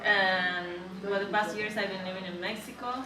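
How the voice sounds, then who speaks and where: A woman's voice: mid-range voice, fairly even intonation, moderate pace. Female interview guest, Argentine accent, native Spanish speaker, conversational; recorded at a distance with chatter behind. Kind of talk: casual interview answers. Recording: echoey, distant mic, background chatter.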